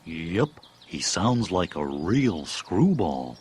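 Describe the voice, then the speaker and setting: An actor playing a friendly, simple, folksy creature sidekick, curious and plain-spoken, in a children's audio storybook. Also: male voice, low voice, very animated, takes it slowly, darkish tone, husky, heavily acted.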